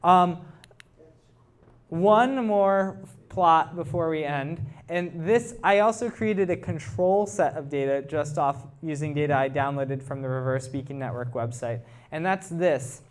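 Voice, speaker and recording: Higher-pitched man giving an informal technical talk. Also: measured pace. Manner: informal, explanatory, engages listeners with questions. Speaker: presenter, researcher. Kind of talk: technical talk to an audience with questions. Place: live talk to a club audience.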